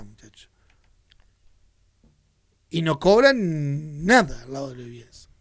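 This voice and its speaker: A man's voice: high-pitched, fairly bright tone, no room sound, studio quality. Fired-up Uruguayan man ranting on radio. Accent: Uruguayan Spanish. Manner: heated, indignant ranting. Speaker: radio sports show host. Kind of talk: opinionated sports commentary. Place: radio studio.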